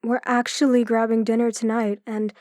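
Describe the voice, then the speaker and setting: A woman's voice: a little high, little pitch movement, normal speed, a little mellow, dry recording, lightly acted. An actress playing a guarded, tough female police chief in an audio drama, with a dry, firm manner. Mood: troubled, confiding.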